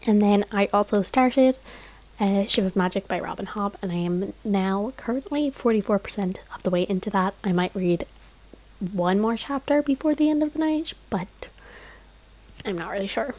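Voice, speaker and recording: A woman's voice: medium pitch, normal speed, low-quality recording. A young woman vlogging casually about her week of reading, rambling with plenty of fillers and small laughs. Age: young adult. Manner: chatty, rambling, lots of "like" fillers, soft laughs. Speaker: book vlogger. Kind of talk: casual reading vlog chat.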